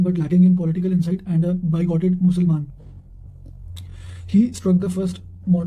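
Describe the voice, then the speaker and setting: A high-voiced man reads study material aloud in a very soft, dark tone. His delivery is fairly flat and halting, with frequent stumbles and repeated words. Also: lo-fi sound. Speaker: narrator reading revision notes. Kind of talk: reading quotations aloud for study revision.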